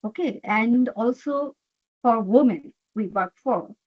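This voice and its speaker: Halting Bangladeshi woman, dull line. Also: a little high, breathy edge. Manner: earnest, halting, frequent fillers and "okay". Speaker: HR executive, guest. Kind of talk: interview answers.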